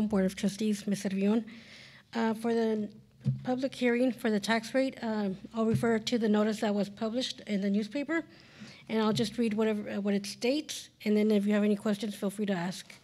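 A woman's voice: medium pitch, a little mellow. A woman in her forties, a school district finance officer, reading budget figures at a board hearing into a podium microphone, with many fillers and self-corrections. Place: large council chamber, indoors.

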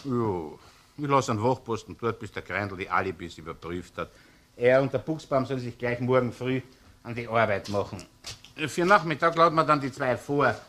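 Man acting senior police inspector, Viennese accent, shrewd, gruff, colloquial, mid-pitched, radio crime drama. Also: bright, forceful tone, lightly acted.

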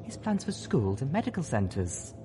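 Man as female unicorn, ladylike, formal, compassionate, determined, raised pitch, expressive, audiobook character. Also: normal speed, slight background noise, natural voice. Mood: persuasive.

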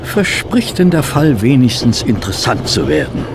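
A man's voice: mid-range voice, wildly swooping pitch, normal speed. An actor playing a detective's puzzled, plain-minded male companion, flustered and questioning in a radio play. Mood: puzzled, curious. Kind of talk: German radio play dialogue. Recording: clear vehicle noise behind.

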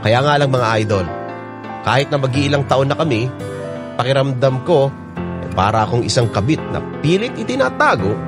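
A performer with a Filipino accent voicing a confessional letter-writer telling a love story in a radio drama. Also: male voice, medium pitch, big pitch swings, normal speed. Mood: confiding. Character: confessional single mother narrating her love story. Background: guitar music.

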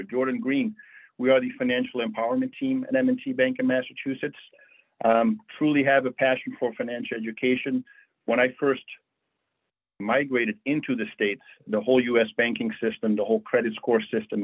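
Guy teaching a banking webinar, husky voice, sounds like a phone line. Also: medium pitch. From Netherlands. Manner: friendly, conversational with frequent fillers. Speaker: bank financial educator. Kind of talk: webinar presentation. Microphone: video call. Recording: tinny phone-like audio.

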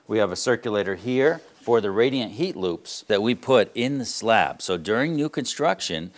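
A middle-aged man with a low, deep voice guides a listener through an explanatory on-site tour as a home energy contractor. He sounds earnest and plain-spoken, dropping frequent "uh" fillers as he goes. He is recorded indoors in a wood-walled barn on a clip-on mic.

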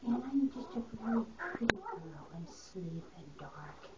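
Vain demure girl puppy, prim, breathy, faraway hissy recording, woman doing it. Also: on the high side, very animated, a little slow, reverberant, distant mic, pitched above the performer's own voice. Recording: muffled, hissy home video.

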